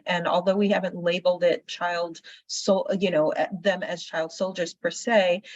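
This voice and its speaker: Chatty, low-voiced Caribbean woman host. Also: no room sound. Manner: warm, conversational, with many fillers. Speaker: forum host and moderator. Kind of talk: interview questions. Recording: dry, slightly dull recording.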